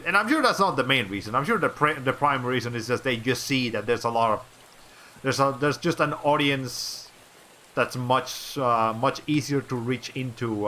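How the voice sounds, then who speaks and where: A man's voice: medium pitch, pushed and bright, a little hiss or hum. A young man from Colombia, a native Spanish speaker, chatting casually on a livestream in a rambling way with many fillers and restarts. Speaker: VTuber streamer.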